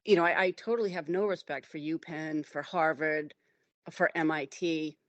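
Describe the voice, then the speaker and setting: A woman's voice: deep voice, steady tone, moderate pace, dry recording, lo-fi sound. A middle-aged woman hosting a casual talk show conversation, speaking in an opinionated, emphatic, rambling way. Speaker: host.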